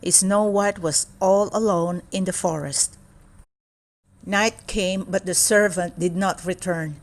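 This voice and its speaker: A woman storyteller narrating a children's fairy tale in a measured, expressive way. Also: on the low side.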